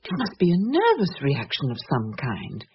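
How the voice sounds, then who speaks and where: A woman's voice: low voice, wildly swooping pitch, moderate pace, full character voice. An actress playing a sensible, sceptical woman, practical, dry and composed in radio drama style. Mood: calm, practical. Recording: dull lo-fi old radio recording, faint hiss.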